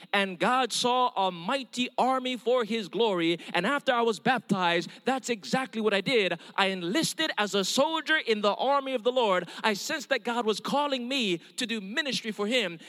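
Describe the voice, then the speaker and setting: Man, Hawaiian accent, middle-aged, evangelist sermon, earnest, higher voice, reverberant hall. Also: sharp tone. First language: Hawaiian Pidgin. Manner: earnest storytelling with calls of amen. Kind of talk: testimony sermon.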